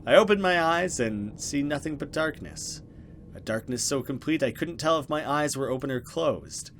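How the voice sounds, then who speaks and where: A man's voice: on the high side, expressive, moderate pace, fairly bright tone. Man reading a horror game aloud, faint noise. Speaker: let's play host. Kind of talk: dramatic reading of a horror game with casual commentary.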